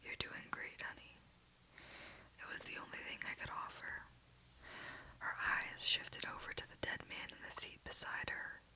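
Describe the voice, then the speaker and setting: A woman telling a story in a soft, slow, soothing unvoiced whisper. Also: poor audio.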